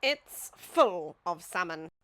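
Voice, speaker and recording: A woman's voice: lowish voice, big pitch swings, slow pace, a bit bright, heavily acted. A woman voicing a grand, know-it-all but generous male Duke in a storybook, with a pompous, declamatory manner. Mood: proud, emphatic.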